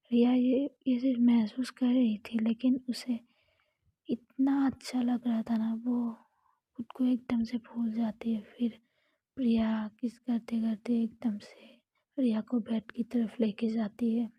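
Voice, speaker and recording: A woman narrating a romantic story in a steady, close whisper. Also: fairly high pitch, breathy edge, dry recording. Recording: dull lo-fi recording.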